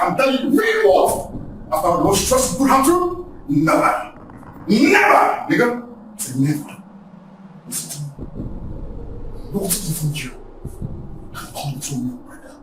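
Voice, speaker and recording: An actor playing a hot-tempered young man mocking his friend, shouting and then dropping to low threats. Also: heavily acted. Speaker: rival suitor in a skit. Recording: echoey room, far from mic, noisy.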